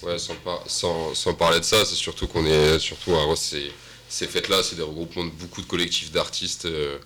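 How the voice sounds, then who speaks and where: A man who works as a DJ and producer, talking modestly and haltingly as a radio guest. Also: sits low, level tone, sharp tone, slight background noise. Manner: modest, halting, filler-heavy. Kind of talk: casual interview talk.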